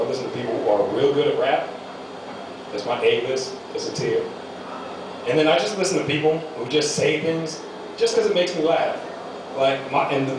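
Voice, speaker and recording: A young American man talks his way through a stand-up comedy set in a small venue, loose and rambling. He sounds far from the mic, and the room echoes heavily. There is a steady hum of room noise behind him. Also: medium pitch. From United States. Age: young adult. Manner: loose rambling delivery with fillers. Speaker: amateur stand-up comic.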